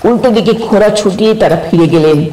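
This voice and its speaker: A man's voice: high voice, fast, mellow, slight background noise. A man narrating a scripted horror story as a storyteller, with suspenseful, theatrical delivery. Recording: roomy, distant sound with music behind.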